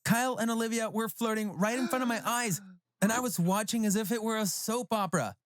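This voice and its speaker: A narrator reading a scripted first-person story for an animated tale. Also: medium pitch, fairly quick. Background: background music.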